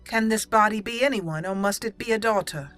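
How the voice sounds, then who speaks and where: A woman with a bright, crisp-edged voice roleplays aloud as she plays a fantasy game. Her improvised lines come out thoughtful and halting, with slightly formal wording and music underneath. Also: medium pitch. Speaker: gameplay host roleplaying an elf mage. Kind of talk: improvised in-character roleplay commentary.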